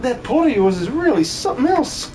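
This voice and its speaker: A man's voice: very high voice, sing-song, a little fast, darkish tone, slight background noise, pitched above the performer's own voice. A man voicing an eager, folksy country villager in a hobby video game dub, effusive and exclamatory. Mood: cheerful, earnest. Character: eager, chirpy country villager tending a river.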